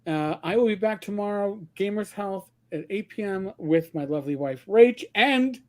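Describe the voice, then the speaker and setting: This man from Queens speaks with a New York accent in a noticeably high register. He hosts a casual livestream, rambling, tripping over repeated words and swearing freely. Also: expressive, normal speed. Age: older. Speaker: host.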